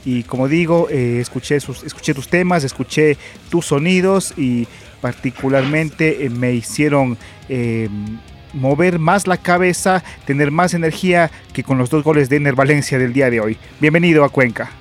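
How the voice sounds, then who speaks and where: Halting podcast host over music. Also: male voice, on the high side. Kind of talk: podcast introduction and interview. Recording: music playing behind the voice.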